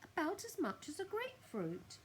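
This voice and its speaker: A woman voicing a sweet, gushing widow in a children's storybook, with drawn-out, affectionate speech. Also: very high-pitched, wildly swooping pitch, slight background noise, voice pushed up, heavily acted. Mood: fond, gushing. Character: sweet, gushing widow doting on her tortoise.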